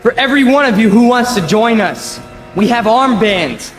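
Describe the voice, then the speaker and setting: An actor playing a young street demagogue who rallies a crowd to march. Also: male voice, very high-pitched, lively intonation, normal speed, soft background music. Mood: rousing. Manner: rousing, then pleading. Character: young man, hate-mongering street demagogue. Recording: echoey hall sound.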